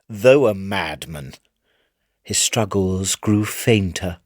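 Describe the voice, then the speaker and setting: Casting: a vengeful, gleeful male lunatic narrating his confession, low register, hugely animated, theatrical period-story delivery. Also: no room sound, clean studio sound, full character voice. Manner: theatrical, swinging between hushed and fierce. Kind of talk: dramatic first-person literary reading.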